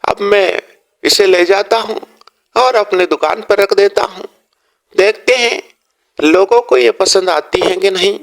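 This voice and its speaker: A man's voice: high voice, measured pace, vocal fry, pitched above the performer's own voice. A man voicing a greedy, sly merchant in a cartoon story, wheedling and exclaiming in a folksy way. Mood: appraising.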